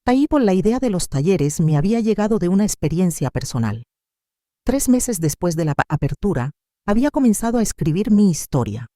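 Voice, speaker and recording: Narrator, sex ambiguous, mid-pitched and deeply mellow; measured, sentimental first-person storytelling. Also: no echo, studio quality.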